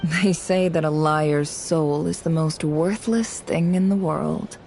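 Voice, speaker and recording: A woman voicing a guarded, bitter young spy who speaks in a cool, measured, brooding way in video game dialogue. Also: sits low, steady tone, a little mellow, faint music behind.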